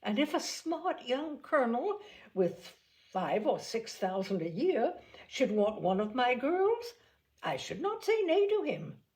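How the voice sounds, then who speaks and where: A woman voicing an excitable, fussy, gushing middle-aged mother in a storybook reading. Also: high-pitched, measured pace, voice pushed up. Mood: indignant, fond. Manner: indignant, exclamatory, fussy.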